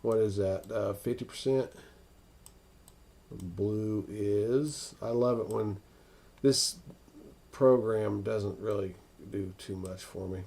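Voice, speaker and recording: Slow-talking American man, gravelly voice. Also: on the low side, dark tone. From northern United States. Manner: hesitant, halting, thinking aloud. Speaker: weather livestream host. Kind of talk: casual unscripted live commentary, answering viewer questions.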